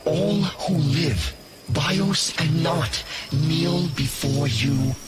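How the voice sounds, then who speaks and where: Very slow, solemn villain's lieutenant. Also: male voice, on the high side. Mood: solemn, reverent. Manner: formal, reverent, measured phrasing. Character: solemn, devoted lieutenant serving a villainous ruler. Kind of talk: dramatic video game acting. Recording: loud music under the voice.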